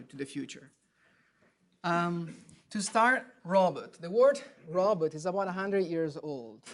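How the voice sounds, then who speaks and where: A surgeon from Italy with an Italian accent gives an academic lecture, pausing often with fillers and restarting phrases. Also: male voice, fairly high pitch. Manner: hesitant, many fillers and restarts. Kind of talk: academic lecture at grand rounds. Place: lecture hall.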